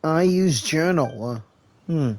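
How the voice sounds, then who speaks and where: Slow, rambling man playing a game. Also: on the high side, lively intonation, heavy background noise. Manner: loose, rambling, lots of uhs and restarts. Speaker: gameplay streamer. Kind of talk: casual playthrough, reading lines aloud and reacting.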